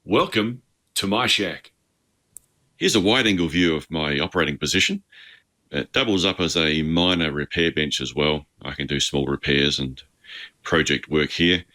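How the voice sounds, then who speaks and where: A man with an Australian accent narrates an informal how-to tour. His voice is very deep yet has a bright, forward edge. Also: normal speed. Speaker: amateur radio hobbyist.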